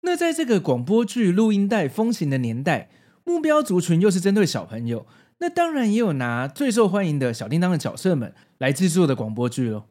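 A man's voice: sits high, huge pitch swings, a little fast, a little mellow. A man with a Taiwanese Mandarin accent hosting a scripted, nostalgic retrospective in an explanatory, conversational way. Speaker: host of a retro pop-culture video series.

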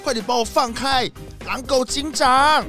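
Woman as a high-voiced, whiny, sly fox thief. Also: unhurried, pushed and bright, soft background music. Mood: indignant protest. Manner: whiny, protesting, dramatic. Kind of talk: children's audio drama acting.

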